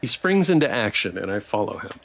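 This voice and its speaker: A man's voice: on the low side, sing-song, fairly quick, gravelly, fairly bright tone, slight background noise. A man streaming a visual novel, reading its text aloud with playful, theatrical commentary and joking asides.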